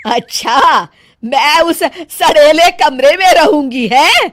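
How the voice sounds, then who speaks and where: A woman's voice: high-pitched, lively intonation, takes it slowly, soft background music, voice pushed up. Woman acting a haughty, scolding old mother-in-law. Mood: outraged. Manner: sharp, indignant scolding.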